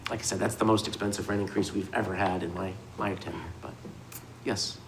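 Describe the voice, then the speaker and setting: A man's voice: low voice, steady tone, fairly quick, background noise. Man explaining housing rents at a meeting. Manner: explanatory, with frequent restarts and fillers. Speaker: housing developer presenting to a committee. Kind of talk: public meeting testimony. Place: city committee meeting.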